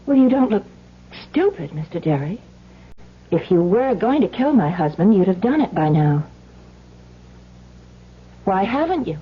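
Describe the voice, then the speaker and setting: Husky, cool young wife. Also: female voice, medium pitch, very animated, unhurried, long pauses. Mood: cool, challenging. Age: young adult. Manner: pointed, dryly challenging. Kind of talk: 1970s radio drama acting. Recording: muffled old radio recording.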